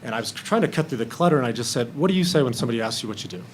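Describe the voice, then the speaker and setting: A man's voice: mid-range voice, animated, racing, a little hiss or hum. A man speaking candidly as a film panelist in a roundtable discussion, acting out bits of remembered conversation.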